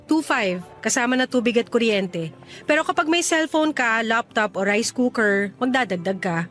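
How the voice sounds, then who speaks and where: A woman's voice: on the high side, lively intonation, moderate pace, a bit bright. A woman acting a friendly, teasing young woman who runs a boarding house, in casual conversational radio drama dialogue. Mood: businesslike, curious. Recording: music bed under the voice. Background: music.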